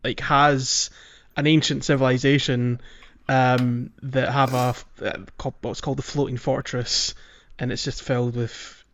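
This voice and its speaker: A man's voice: medium pitch, level tone, a bit bright. Man chatting on a podcast, slightly dull audio. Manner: halting, rambling, repeats words. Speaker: podcast co-host. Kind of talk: casual podcast discussion.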